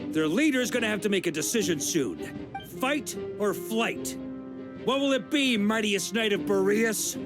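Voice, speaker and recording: A man's voice: sits high, expressive, a little slow, over music. A man voicing a bold veteran knight commander who speaks with rousing, commanding energy. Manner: rousing, commanding battle calls.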